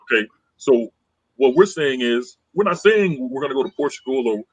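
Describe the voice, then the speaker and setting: A man co-hosting a casual podcast chat, calm and conciliatory, rambling and repeating phrases as he explains. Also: medium pitch, normal speed, no echo.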